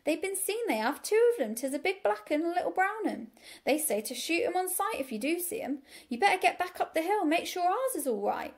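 A woman voicing an excitable, bossy farmer's wife with a rural English accent in a storybook reading. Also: extremely high pitch, a little fast, voice pushed up, heavily acted. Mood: agitated, urgent. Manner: excitable, repeats herself in dialect. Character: flustered, bossy country farmer's wife, scolding and chattering.

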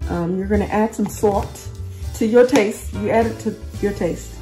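Lady walking y'all through a recipe in her kitchen, mid-pitch voice, chatty, keeps fixing what she just said, soft music under it. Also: normal speed, rough recording. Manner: chatty, talks straight to viewers, restarts and corrects herself. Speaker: cooking channel host. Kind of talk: casual cooking tutorial. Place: home kitchen.

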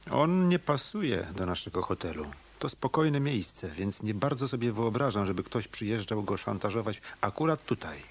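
An actor playing a tough, wisecracking hotel detective in a radio drama, with a wry, sardonic manner. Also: male voice, lowish voice, animated, moderate pace, few pauses, slightly rough. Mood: wry probing.

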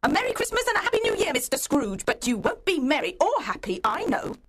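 Man in falsetto as a shrill, indignant mother, high and swooping. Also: huge pitch swings, brisk, a bit bright, exaggerated voice. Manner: shrill and scornful. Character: indignant mother of a poor family, fiercely protective.

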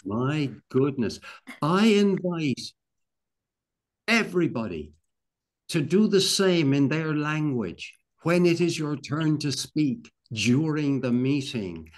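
An older man hosting an online club meeting on a video call, speaking haltingly and repeating phrases. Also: medium pitch. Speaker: meeting host.

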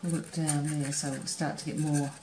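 Woman teaching painting, relaxed, thinking aloud, low voice, slight hum. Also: moderate pace, reverberant. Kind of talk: painting demonstration narration. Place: studio table, camera overhead.

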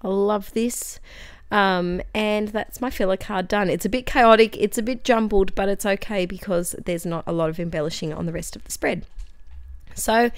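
A young Australian woman with a hoarse, croaky voice, chatting casually over her scrapbooking video with lots of fillers. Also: mid-range voice, normal speed, no room sound, studio quality. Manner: chatty, friendly, tangents and fillers. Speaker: scrapbooking channel host. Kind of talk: voice-over for a craft process video. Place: home craft desk.

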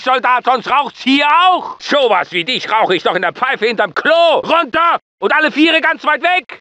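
High, forceful, bullying drill sergeant. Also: male voice, no echo, full character voice. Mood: menacing, mocking. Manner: barking, commanding, insulting, clipped orders. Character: gruff, bullying military drill sergeant barking at recruits. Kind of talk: German video game dubbing.

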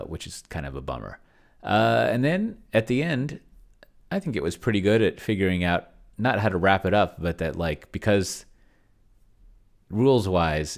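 Low-voiced middle-aged man thinking aloud. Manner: rambling, hesitant, thinking aloud. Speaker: solo role-playing video host. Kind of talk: casual off-the-cuff review commentary. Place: small home office, indoors. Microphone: large desk microphone close to the mouth.

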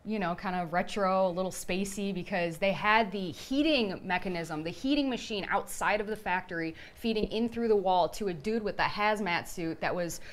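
A woman with a medium-pitched voice co-presents a technical product review. Her delivery is informal and enthusiastic, like a casual conversation about gear she knows well. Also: moderate pace, few pauses.

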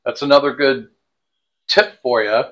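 A man's voice: mid-range voice, steady tone, unhurried, fairly bright tone. A man in his sixties chatting casually as he gives practical tips on a vlog, recorded indoors on a clip-on mic. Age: 60s. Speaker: vlog co-host. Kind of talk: casual chat giving packing tips. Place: indoors in a small home kitchen and bedroom. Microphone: clip-on lapel mic.

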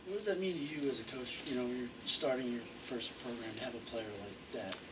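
A man's voice: medium pitch, brisk. A man, a sports reporter, asking questions at a press conference in a conversational way. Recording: distant mic with room noise.

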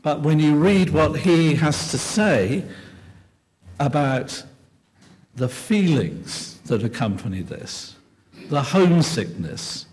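An older man from England giving an earnest, measured keynote lecture at a podium microphone in a large hall. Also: fairly high pitch, sing-song, a little mellow. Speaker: keynote speaker. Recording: long hall reverb.

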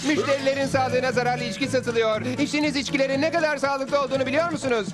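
A very high, fervent voice for an eager cartoon newspaper editor. The delivery is declaiming and excitable, over loud music. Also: male voice, fast. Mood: proclaiming. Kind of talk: dubbed cartoon acting. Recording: loud music bed under the dialogue.

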